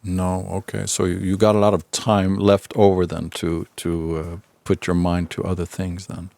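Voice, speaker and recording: A man's voice: low voice, completely flat delivery, moderate pace, vocal fry, a little mellow, no echo. A man hosting a casual conversational interview, often repeating words as he forms his questions. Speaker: podcast host, interviewer.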